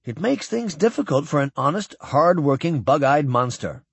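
A man's voice: a little high, sing-song, moderate pace, creaky, slightly rough, dry recording, heavily acted. A man voicing a playful, inquisitive alien that imitates a young officer, breezy and teasing, in a science fiction audiobook. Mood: wry, breezy.

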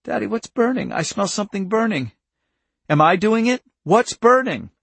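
A man's voice: on the high side, expressive, normal speed, vocal fry, slightly rough, no echo, pitched above the performer's own voice, heavily acted. Man doing a scared little girl, raised plaintive voice. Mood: confused, scared.

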